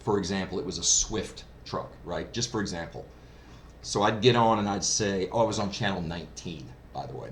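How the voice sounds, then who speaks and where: A man giving a casual first-hand review in a chatty, enthusiastic, anecdotal way. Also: sits low. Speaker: hobbyist product reviewer. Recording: clearly noisy background ambience.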